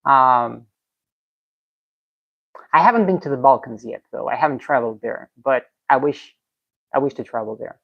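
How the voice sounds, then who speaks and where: A woman's voice: rumbling low, animated, normal speed, vocal fry, pauses often. A young Russian-born woman, a native Russian speaker, chats casually with her live-stream viewers. She reads their comments aloud and answers them one by one. The stream audio sounds slightly dull and lo-fi.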